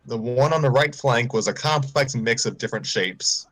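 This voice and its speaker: A man's voice: medium pitch, normal speed, a bit bright, soft background music. A man narrating a story aloud informally, sometimes stumbling and repeating phrases. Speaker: story reader on a livestream.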